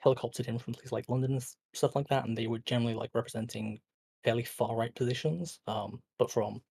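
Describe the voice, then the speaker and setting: A man's voice: mid-range voice, fairly even intonation, fast talker, vocal fry, a little mellow, a little hiss or hum. A tired man talking late at night as a guest in a casual online debate, hesitant and full of fillers. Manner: hesitant, filler-heavy, restarts often.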